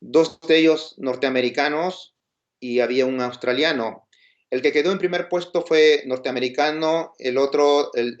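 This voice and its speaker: A man with a Peruvian Spanish accent giving an informal, explanatory lecture, posing questions and checking in with his listeners. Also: medium pitch. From Peru. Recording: dull, lo-fi sound.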